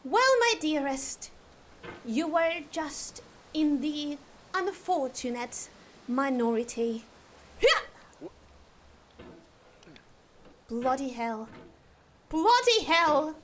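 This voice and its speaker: A young woman streaming live, chatting in an exaggerated put-on posh British accent with a theatrical, drawn-out delivery. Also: very high-pitched, a little hiss or hum. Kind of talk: casual live-stream chat.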